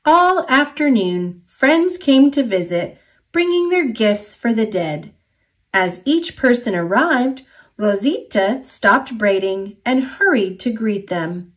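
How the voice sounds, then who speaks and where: A woman with an American accent reads a children's story aloud in an expressive, deliberate storytelling manner. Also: fairly high pitch.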